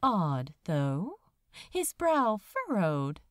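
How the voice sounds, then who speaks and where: A woman reading fiction aloud as a dramatic narrator, expressive and measured, with deliberate pauses. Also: mid-range voice, no echo, clean studio sound. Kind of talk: dramatic scripted audiobook narration.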